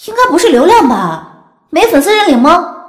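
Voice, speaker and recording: An excitable young woman gossiping online, very high and swooping in pitch. She is emphatic and opinionated, in a roomy audio drama sound with music. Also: fairly quick. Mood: teasing curiosity. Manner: emphatic, exclamatory.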